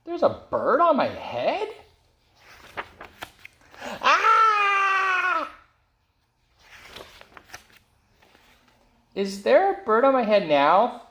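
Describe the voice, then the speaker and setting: A man voicing an anxious, excitable, easily exasperated elephant from a children's storybook, with dramatic, fretful exclamations. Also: very high voice, drawn-out words, pauses often, voice pushed up, exaggerated voice. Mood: alarmed. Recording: roomy echo.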